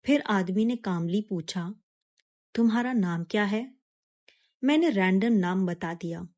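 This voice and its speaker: A woman narrating a scripted first-person suspense story in a measured, dramatic manner with pauses. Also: medium pitch, animated, a little mellow.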